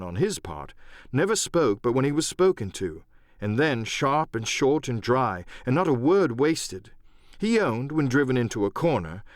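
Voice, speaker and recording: A man narrating an adventure novel as dramatic, scripted storytelling in a measured literary style. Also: medium pitch, no echo, clean studio sound. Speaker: audiobook narrator and voice actor.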